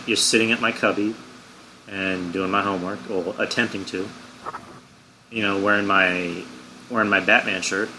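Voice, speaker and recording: A man's voice: on the low side, sharp tone, a little hiss or hum, poor audio. A man in his late twenties in casual podcast chat, hesitant and full of fillers. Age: 20s. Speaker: podcast co-host.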